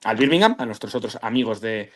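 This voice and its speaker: A man's voice: on the low side, animated, fast. A man, chatting casually on a football podcast, rambling with slang and restarts. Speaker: podcast co-host.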